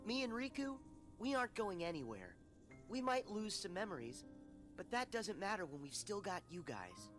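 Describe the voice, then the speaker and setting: Young boy hero voiced by a woman, kind, hopeful, animated, cartoon game over faint score. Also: mid-range voice, moderate pace, over music, lightly acted. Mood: reassuring.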